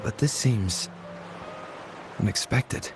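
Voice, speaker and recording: An actor voicing a calm young male wanderer in a video game, measured and earnest. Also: lowish voice. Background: game music.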